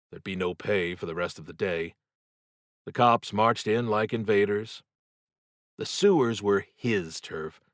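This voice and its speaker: A man reads a horror novel in a dry, studio-like sound. His voice sits fairly low and he takes his time, very slowly building suspense. The delivery is measured, dramatic storytelling. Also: no echo. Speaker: audiobook narrator.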